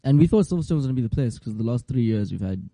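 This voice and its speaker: Zulu-speaking man with a dark, worn voice. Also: medium pitch, very fast, poor audio. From South Africa. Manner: laughs often, relaxed banter. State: strained voice from shouting. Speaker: podcast co-host. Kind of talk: casual chat. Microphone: studio mic.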